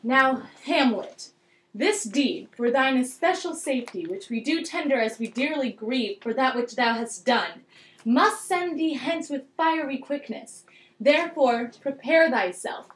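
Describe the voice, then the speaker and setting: A woman's voice: high voice, lively intonation, a little slow. A woman playing an anxious, commanding king in an amateur Shakespeare puppet show, declaiming old verse. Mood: grave, insistent. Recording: echoey room, amateur recording.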